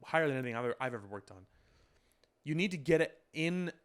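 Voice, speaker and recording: A man's voice: fairly high pitch, lively intonation, fast talker, smooth. Analytical man co-hosting a film podcast. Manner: analytical, builds points with extended metaphors. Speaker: podcast co-host. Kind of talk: casual chat.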